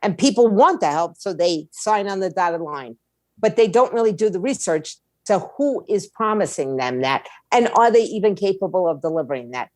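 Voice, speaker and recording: Woman, interview guest, conversational, emphatic, repetitive for effect, medium pitch, dry sound. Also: animated, moderate pace, darkish tone, no room sound. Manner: emphatic, repeats points, asks rhetorical questions. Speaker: interview guest, music attorney and label owner.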